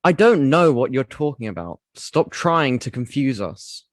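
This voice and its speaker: A man's voice: medium pitch, big pitch swings, unhurried, dry recording, studio quality. A man playing a stern, commanding prison official. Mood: distrustful, dismissive. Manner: commanding, dismissive. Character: stern, distrustful male prison authority giving orders. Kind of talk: audio drama acting.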